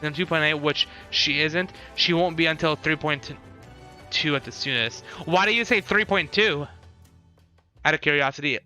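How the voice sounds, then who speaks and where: A man's voice: fairly high pitch, pushed and bright. A man in casual live stream chat, thinking aloud and talking with his viewers as he reacts.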